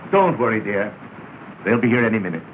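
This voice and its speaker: Genial middle-aged American family man, courteous early-talkie delivery, animated intonation, thin noisy soundtrack. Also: on the low side, brisk. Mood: reassuring. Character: genial, reassuring well-to-do family man and adviser. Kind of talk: early 1930s film acting. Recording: noisy, tinny 1930 film soundtrack.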